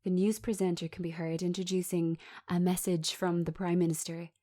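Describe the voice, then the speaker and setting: A woman reading a script aloud as narrator, giving the stage directions in a measured, descriptive manner. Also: on the low side, flat delivery, warm tone.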